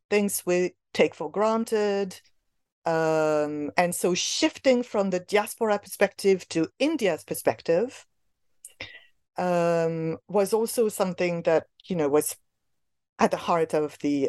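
A woman scholar speaks with a lowish voice, thinking aloud as she answers an interviewer's questions. She often pauses, and she uses fillers and restarts her phrases. Her voice is recorded very dry, with no room sound. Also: darkish tone, clean tone, dry recording. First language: not English. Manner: hesitant, many fillers and restarts. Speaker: book editor, interviewee. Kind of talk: academic interview.

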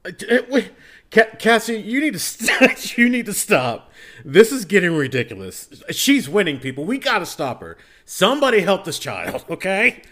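A man's voice: high-pitched, wildly swooping pitch, normal speed, bright, forceful tone. A man chatting casually as a podcast guest, emphatic and stammering in mock exasperation. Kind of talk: casual bantering chat.

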